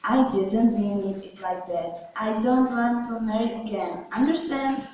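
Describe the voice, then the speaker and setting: A woman's voice: a little high, unhurried, darkish tone, highs cut off, lightly acted. A woman playing a stubborn, weary widowed male ruler in an amateur puppet show, protesting in exasperation. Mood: firm, weary. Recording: very echoey room, far from mic.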